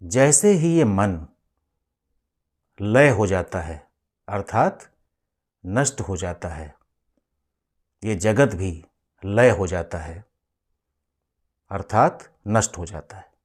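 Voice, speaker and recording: A man leading a guided meditation as a spiritual teacher, speaking in a measured, instructive way with deliberate pauses. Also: low voice, lively intonation, darkish tone, husky.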